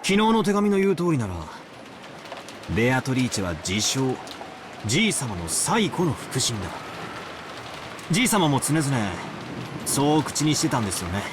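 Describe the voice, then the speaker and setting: An actor plays a brash young man in a mystery visual novel. He talks quickly and with lively swings of pitch, slangy and probing, over loud rain. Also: noisy background, natural voice. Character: brash, inquisitive young man, wealthy family's grandson. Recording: heavy rain ambience behind the voice.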